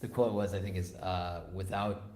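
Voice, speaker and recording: A man's voice: deep voice, little pitch movement, normal speed, a little mellow. A man hosting a podcast, talking in a casual, reflective, conversational way. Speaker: podcast host. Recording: echoey, roomy sound.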